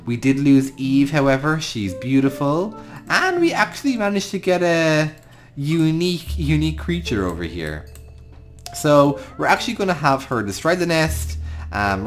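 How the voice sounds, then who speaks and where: An Irish gaming host giving improvised storytelling commentary over a game, talking affectionately to the creatures. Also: medium pitch. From Ireland.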